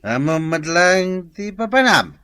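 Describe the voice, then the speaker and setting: A pushy drinking buddy in an Ilocano radio comedy. His voice sits a little high and bounces with lively intonation. He is teasing and insistent, repeating himself as he presses his point. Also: slow pace, smooth, no room sound, heavily acted. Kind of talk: comedic radio drama acting.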